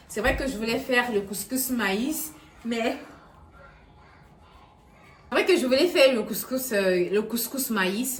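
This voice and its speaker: A woman vlogger, hoarse from a cold and tired after work, chatting casually to camera about cooking and groceries, with many fillers. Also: medium pitch. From now living in Canada. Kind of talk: casual vlog chat. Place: home kitchen.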